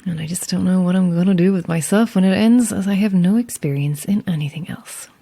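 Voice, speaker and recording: An actress playing a chatty, self-deprecating lighthouse keeper in an audio drama, friendly and a little wistful, with hesitant asides. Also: female voice, fairly low pitch, a little fast, creaky, warm tone. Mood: wistful, self-mocking. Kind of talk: naturalistic audio drama acting.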